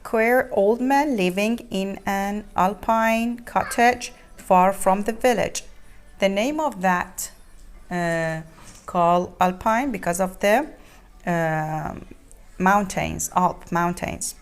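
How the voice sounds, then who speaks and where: A woman reads a children's story aloud, haltingly, repeating and explaining words as she goes. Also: medium pitch, clean tone. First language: not English.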